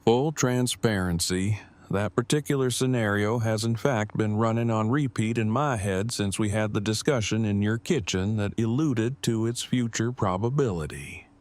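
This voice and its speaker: A man's voice: lowish voice, normal speed, hardly any pauses, a little mellow, lightly acted. An actor playing an earnest, long-winded nerdy man in an audio drama, with formal and precise wording. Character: earnest, awkward, verbose nerdy man newly in love.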